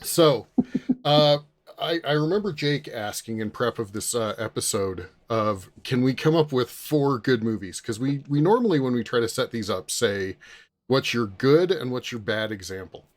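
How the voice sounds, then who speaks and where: A man hosting a panel podcast in a casual, conversational way, often pausing to find his words. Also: medium pitch, expressive. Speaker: podcast host leading a panel.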